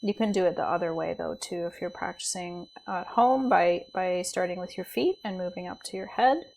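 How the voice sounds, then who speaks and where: A woman leading a guided body scan meditation as a teacher, speaking in a gentle, soothing, unhurried way with pauses. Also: on the low side, gravelly, a little hiss or hum, poor audio.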